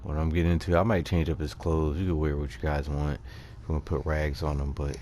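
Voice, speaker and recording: A man's voice: very deep voice, flat delivery, brisk, mellow, slight background noise. A man giving casual, laid-back, slangy commentary over his own gameplay. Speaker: gaming host.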